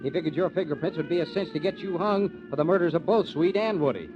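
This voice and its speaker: A man's voice: fairly high pitch, lively intonation, quick pace, noisy background, close to an everyday voice. Casting: male actor as tough, sardonic American police detective, assured slangy delivery, vintage radio crime serial, muffled recording. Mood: firm, assured. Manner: wisecracking, hard-boiled slang. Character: confident, wry, tough police lieutenant. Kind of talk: old-time radio crime drama acting.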